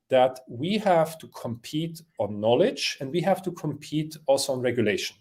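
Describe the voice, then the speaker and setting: A man from Switzerland answering interview questions, pausing with many fillers and restarts. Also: medium pitch, mellow. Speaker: interview guest.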